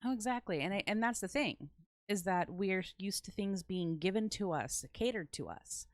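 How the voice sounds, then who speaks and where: A woman's voice: medium pitch, expressive, normal speed, dry recording, studio quality. A Midwestern American woman hosting a podcast, talking bluntly in casual chat and swearing freely. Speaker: podcast host.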